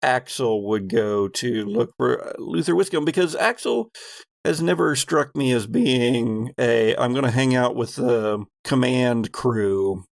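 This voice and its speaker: A man's voice: mid-range voice, lively intonation, unhurried, gravelly, no echo, clean studio sound. A man narrating and refereeing a tabletop role-playing game as game master, explaining things conversationally.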